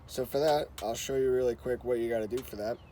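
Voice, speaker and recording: A man's voice: medium pitch, steady tone, fast, warm tone, slight background noise. A man hosting a how-to video, talking casually and informally as he explains each step with repeated instructional phrases. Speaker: host of a how-to car channel. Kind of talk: casual hands-on tutorial talk.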